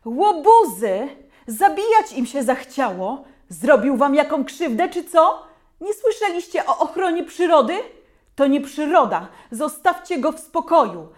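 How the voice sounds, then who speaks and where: Brief: a loud, high-pitched protective mom with a sharp scolding tone, as a woman reader puts her on. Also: very animated, unhurried. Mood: furious scolding. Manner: shouting loudly, scolding. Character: fierce protective mother, middle-aged woman.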